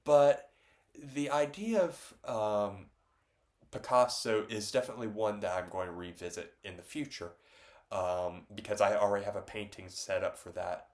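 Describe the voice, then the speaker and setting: Man, stuffed-up nose, lowish voice, loose rambling talk, many ums. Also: moderate pace, a little mellow. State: stuffed up, congested. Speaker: painter talking about his own painting. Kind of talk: casual reflective talk to camera.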